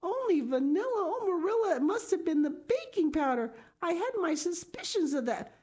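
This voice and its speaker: A woman voicing a dramatic, tearful orphan girl who pleads in a high voice. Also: very animated, normal speed, darkish tone. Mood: anxious.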